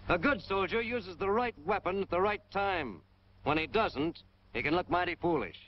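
A man's voice: sits high, lively intonation, normal speed. An American man narrating a 1940s military training film in a hard-boiled, punchy, emphatic way. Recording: dull, noisy 1940s film soundtrack.

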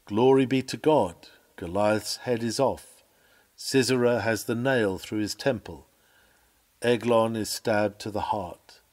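A man reading an old sermon aloud in a measured, earnest, oratorical preaching delivery. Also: fairly low pitch, a little mellow. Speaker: reader of a historical sermon.

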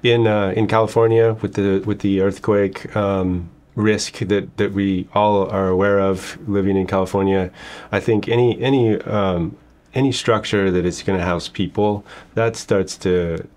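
A middle-aged man with a very deep voice answers interview questions as a structural engineer. His replies are short and agreeable, often starting with fillers and hesitations, recorded in an office room. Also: fairly even intonation, unhurried, a little mellow.

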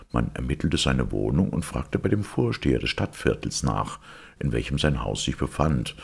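A man reading a scripted ghost legend as a storyteller, in a measured, solemn manner. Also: rumbling low, vocal fry, a little mellow, husky. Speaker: storyteller reading folk tales.

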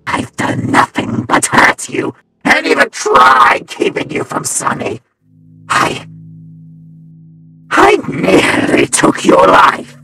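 Tormented animatronic, gravelly growl, forceful, devoted yet menacing, dramatic comic dub. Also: male voice, wildly swooping pitch, heavily acted. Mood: remorseful. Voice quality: very deep, gravelly, breathy growl. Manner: slow, intense, dramatic.

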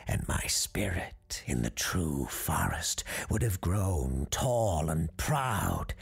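A storyteller voicing an ancient, wronged Christmas tree spirit in a slow, breathy whisper, menacing, mournful and accusing, in a spooky story. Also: low voice, full character voice. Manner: slow, drawn-out, solemn and formal.

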